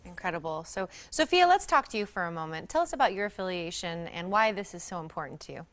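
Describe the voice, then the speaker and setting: A woman's voice: lowish voice, expressive, quick pace, a bit bright. A woman hosting a morning TV show, interviewing guests in a friendly, upbeat way. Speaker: TV host. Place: TV studio.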